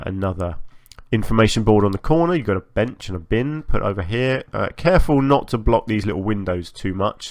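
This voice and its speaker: A man giving casual, chatty commentary as host of a gaming channel, a little hoarse from a long recording session, hesitant and self-effacing. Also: sits low, no echo. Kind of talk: casual game build commentary.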